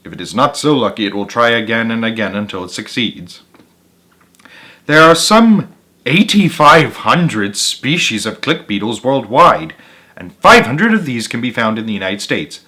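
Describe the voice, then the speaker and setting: Bright, animated man reading a storybook. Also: mid-range voice, normal speed. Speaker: storyteller, channel host. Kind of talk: animated children's read-aloud narration.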